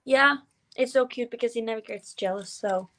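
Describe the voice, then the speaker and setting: Norwegian woman, 20s, guest artist, talkative and excitable, slightly high voice. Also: normal speed, slight background noise. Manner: chatty and giddy, with many fillers and restarts. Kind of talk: casual interview chat.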